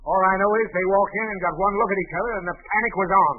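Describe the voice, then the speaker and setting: Man playing high-pitched, blustering radio boss. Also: very fast, narrow, tinny audio, natural voice. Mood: irate. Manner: indignant, blustery, exasperated. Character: blustering, irate, flustered male boss. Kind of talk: 1940s radio situation comedy acting. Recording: old radio recording with audience noise.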